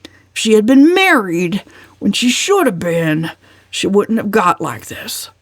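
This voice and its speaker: A woman's voice: mid-range voice, very animated, unhurried, no room sound. A woman voicing a bossy, irritable old uncle who growls and snaps bluntly in an audiobook.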